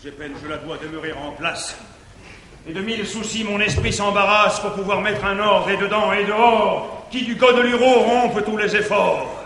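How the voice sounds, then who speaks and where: An actor playing a jealous, tormented middle-aged guardian, declaiming classical French verse comedy in a grandiose, anguished manner on stage. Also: male voice, sits high, normal speed, a bit bright. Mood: brooding, agitated. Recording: 1950s theatre recording, echoey hall, faint hiss.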